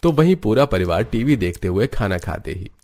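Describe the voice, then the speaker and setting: Low-pitched male story narrator. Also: expressive, moderate pace, a little mellow. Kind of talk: scripted moral story narration.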